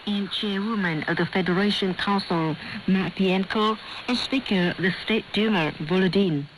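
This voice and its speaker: Vietnamese-accented woman newsreader over noisy shortwave radio. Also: mid-range voice. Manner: formal, measured delivery. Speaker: radio news presenter and host. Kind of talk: scripted news reading. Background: clear static noise.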